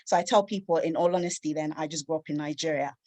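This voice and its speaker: A woman's voice: deep voice, fairly even intonation, fast, warm tone. A woman, giving an upbeat, candid personal talk over a video call with frequent ums. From London. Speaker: lightning talk speaker, computer science teacher.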